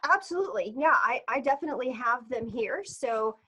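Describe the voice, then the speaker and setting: A woman's voice: a little high, lively intonation, brisk, sharp tone, slightly rough. A woman hosting a webinar, upbeat and laughing often in casual chat. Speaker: webinar host.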